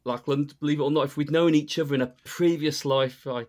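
A man's voice: a little high, fairly even intonation, fairly quick, darkish tone. A man who grew up in northern England, a podcast guest talking in friendly casual chat and laughing often.

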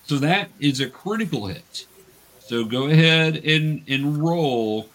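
A man's voice: fairly high pitch, animated, slow pace, fairly bright tone. A man acting as game master, casually and patiently explaining tabletop rules and encouraging players.

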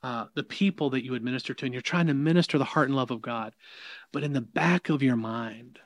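A man's voice: mid-range voice, brisk, no echo, clean studio sound. A middle-aged man with a Southern US accent, a minister talking as an interview guest in an emphatic, preacherly way with many restarts. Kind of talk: casual interview conversation. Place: in person, New York.

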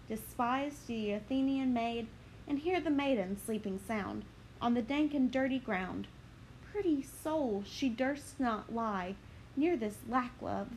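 Impish forest sprite, as a woman reads him, slow rhythmic verse, fairly high voice. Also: darkish tone, a little hiss or hum, rough recording. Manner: measured, rhythmic verse delivery. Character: mischievous male forest sprite, quick. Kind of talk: dramatic reading of verse.

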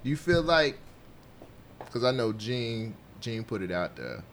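A man's voice: mid-range voice, very animated, takes it slowly, gravelly, fairly bright tone, a little hiss or hum. A man hosting a laid-back, informal interview in conversational speech. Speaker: interviewer, host. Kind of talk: casual interview chat.

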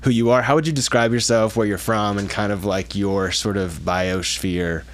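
A man's voice: on the low side, steady tone, moderate pace, slight background noise. A man in his thirties, a doctor hosting a podcast, chatting in an upbeat, rambling way while asking questions. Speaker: podcast host, physician. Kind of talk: casual interview chat.

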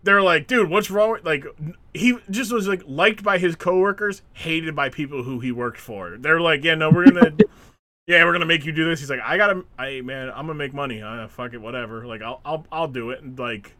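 A man co-hosting a casual podcast chat, animated, acting out quotes and laughing often. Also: a little high, fairly quick. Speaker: podcast co-host.

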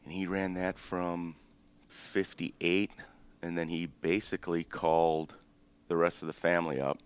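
Super deep voiced guy in his 50s, greek-born but grew up in the us, rambly laid-back storyteller. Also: measured pace, clean tone. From born in Greece, raised in the United States. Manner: relaxed, rambling, with frequent ums and self-corrections. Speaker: restaurant owner, guest. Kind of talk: casual interview storytelling.

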